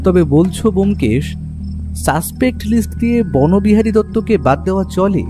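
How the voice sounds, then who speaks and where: A man's voice: high-pitched, animated, moderate pace. A man with a Bengali accent giving dramatic first-person narration of a detective story. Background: music under the narration.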